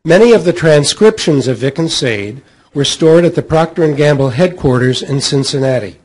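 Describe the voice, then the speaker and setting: Husky middle-aged American man, higher-pitched voice. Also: expressive, normal speed, a little mellow, rough recording. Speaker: panel moderator. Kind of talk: scripted introduction read aloud. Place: hotel meeting room at a convention. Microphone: table microphone on a stand.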